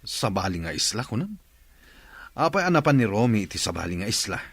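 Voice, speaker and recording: A man's voice: on the low side, sing-song, a little fast, a bit bright, no echo, heavily acted. A middle-aged man with an Ilocano accent playing a worried village father who asks blunt questions about his missing son. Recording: clear background noise.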